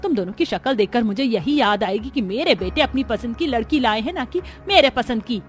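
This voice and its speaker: A woman's voice: fairly high pitch, lively intonation, brisk, natural voice. A woman playing a bossy, disapproving middle-aged mother-in-law in a cartoon family drama, scolding with sarcasm and drama. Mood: scornful, aggrieved.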